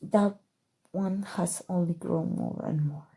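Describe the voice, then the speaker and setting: Woman acting a dark, velvety possessive sorceress. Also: lowish voice, slow pace, slightly rough, heavily acted. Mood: possessive, intense. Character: possessive evil sorcerer woman, calm, seductive, obsessive. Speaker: voice actor. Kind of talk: ASMR roleplay, scripted acting.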